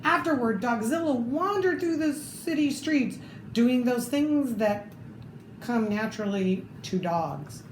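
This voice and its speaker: Slow, high-voiced woman reading a storybook. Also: expressive. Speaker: storybook reader. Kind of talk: expressive children's read-aloud with asides. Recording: roomy echo, clear background noise.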